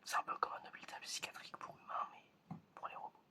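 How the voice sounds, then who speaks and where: A man playing a stern, menacing examiner in an ASMR roleplay, whispering slowly and deliberately. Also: bright, forceful tone. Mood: quietly menacing. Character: stern, menacing examiner testing a suspected robot.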